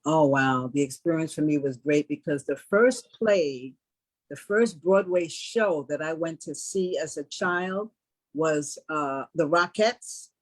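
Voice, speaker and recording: An American woman with a husky voice answers questions as an interview guest. Her delivery is casual, measured and deliberate. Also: deep voice, poor audio. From New York. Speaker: stage play cast member as guest.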